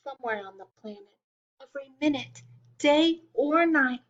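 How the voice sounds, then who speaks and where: A woman reading a children's picture-book poem aloud in a slow, gentle storytime manner with soft hushed asides. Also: high-pitched, expressive, muffled recording. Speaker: storytime reader.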